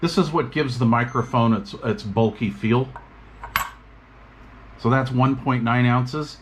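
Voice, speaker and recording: A man's voice: mid-range voice, a little slow. A man with an everyday mid-range voice talks through a product review in a loose, chatty way, full of little fillers and restarts. He sounds like he is working at a tabletop as he talks. A faint hiss sits under the recording. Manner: relaxed, chatty, many fillers. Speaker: radio gear reviewer.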